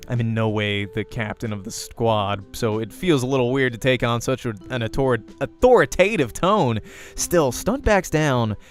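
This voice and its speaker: A man hosts a visual novel playthrough, reading the narration aloud like a storyteller. His voice sits at a medium pitch, and music plays in the background. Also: animated, moderate pace. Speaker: let's play host and reader.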